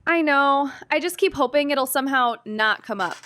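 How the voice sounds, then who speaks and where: A woman's voice: sits high, moderate pace, pushed and bright. A woman playing a stubborn, overconfident twelve-year-old girl detective in an audio drama, emphatic and self-assured. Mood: resigned. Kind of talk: scripted audio drama acting.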